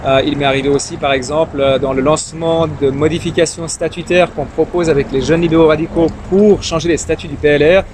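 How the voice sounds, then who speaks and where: A man's voice: on the high side, fairly even intonation, a little fast, few pauses. A timid young man born in Iran, whose first language is Farsi, speaking in a casual interview with many fillers and repeated words. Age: young adult. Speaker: interview guest, young politician. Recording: noisy street and traffic ambience.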